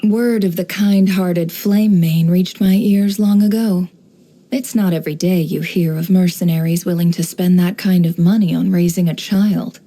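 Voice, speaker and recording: An actress playing a shrewd, worldly woman who runs an intelligence business in a video game, speaking in a composed, wry, measured way. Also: on the low side, fairly even intonation, warm tone. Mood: knowing, musing. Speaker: video game character voice actor. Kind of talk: scripted game character voice lines, monologue.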